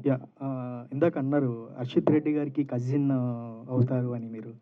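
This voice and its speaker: A man's voice: medium pitch, fairly even intonation, moderate pace, background noise. A man putting questions as a reporter at a press event. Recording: thin, phone-like event audio.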